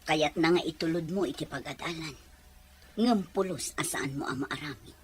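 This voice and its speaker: A woman's voice: deep voice, normal speed, slight background noise, close to an everyday voice. A woman playing a hurt but caring wife and mother in an emotional audio drama. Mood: reproachful, caring.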